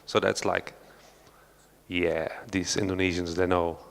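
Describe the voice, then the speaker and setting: A man's voice: sits low, little pitch movement, a little slow, vocal fry. Hesitant Belgian man in a reverberant hall. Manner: hesitant, many fillers and restarts, non-native phrasing. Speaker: panel speaker, researcher. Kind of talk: unscripted talk at a panel discussion. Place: large hall of an art centre.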